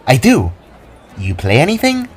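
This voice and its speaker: Gleeful lady spark creature, reassuring, lifted pitch, audio drama, done by a man, music. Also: huge pitch swings, measured pace, husky, full character voice. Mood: friendly.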